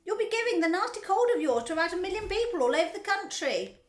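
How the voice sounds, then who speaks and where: A woman's voice: extremely high pitch, wildly swooping pitch, slow pace, a bit bright, echoey room, voice pushed up, heavily acted. A woman voicing a hysterical, bossy mother in a storybook, screeching with panicked indignation. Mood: scolding.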